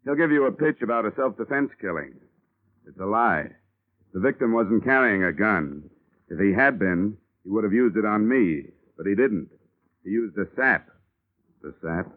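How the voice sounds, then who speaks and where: An actor playing a hardboiled, wisecracking insurance investigator, narrating in clipped, wry old-time radio detective style. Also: male voice, lowish voice, expressive, normal speed, close to an everyday voice. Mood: confident, brisk. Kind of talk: 1950s radio detective acting, first-person narration. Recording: old phone-like radio recording with music behind.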